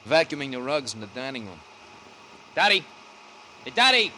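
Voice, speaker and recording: Glib, forceful young radio suspect. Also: male voice, mid-range voice, sing-song, normal speed. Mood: breezy, wry, then calling out. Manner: breezy, sardonic. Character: glib, wry young husband, former murder suspect. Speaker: actor in a radio crime drama. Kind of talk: 1950 radio crime acting. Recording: old radio recording with faint noise.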